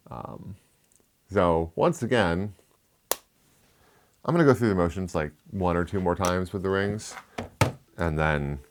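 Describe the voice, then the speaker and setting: Man, low pitch, lisp, casual tech review, chatty, rambling asides. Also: creaky. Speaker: tech reviewer, channel host.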